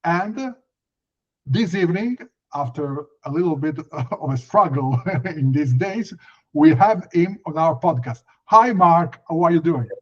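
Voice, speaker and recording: Male podcast host, Italian accent, slightly high pitch, deliberate halting delivery, dull recording. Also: animated, husky. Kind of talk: podcast interview with prepared introduction. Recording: dull, lo-fi microphone sound.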